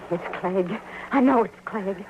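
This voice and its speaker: An American woman playing a desperate but plucky runaway dance hall girl in an old-time radio western, with a halting, pleading, dramatic delivery. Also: medium pitch, muffled recording, natural voice. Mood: frightened. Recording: slightly noisy old radio broadcast recording.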